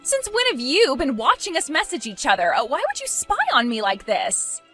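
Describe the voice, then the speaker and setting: A woman's voice: high voice, huge pitch swings, moderate pace, pushed and bright, faint music behind, full character voice. A woman voicing a spoiled, self-centred young bride in a dramatized story, petulant and pleading. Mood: shocked, annoyed.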